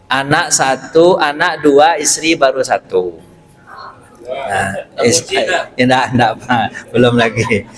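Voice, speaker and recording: A man's voice: sits high, fairly bright tone. A middle-aged man with a Pariaman Minangkabau accent giving a short mosque sermon, joking and quizzing the congregation with questions. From Pariaman, West Sumatra, Indonesia. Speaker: preacher and university lecturer.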